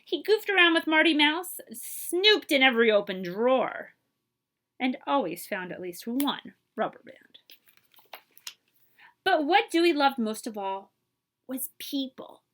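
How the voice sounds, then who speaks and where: Female children's storyteller, fairly high and very lively, expressive librarian read-aloud with dramatic pauses. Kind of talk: animated children's picture book read-aloud.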